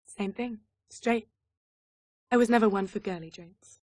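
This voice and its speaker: A woman's voice: medium pitch, a little slow, darkish tone, no room sound, studio quality, close to an everyday voice. A woman playing a confident, flirtatious socialite pilot in a video game, playful and self-assured. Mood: casual. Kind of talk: video game cutscene acting.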